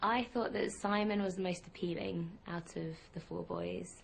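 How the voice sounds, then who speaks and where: A woman's voice: lowish voice, fairly even intonation, normal speed, vocal fry, slight background noise. A woman in her twenties, a bubbly dating show contestant. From Wales. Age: 20s.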